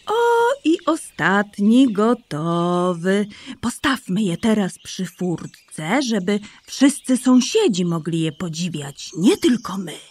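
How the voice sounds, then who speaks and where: A loving mother rabbit from a children's audio play, tender and soothing, with a lively rise and fall in her voice, played by a woman. Her slow speech is soft and breathy. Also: mid-range voice. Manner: tender and soothing, calls her son endearments.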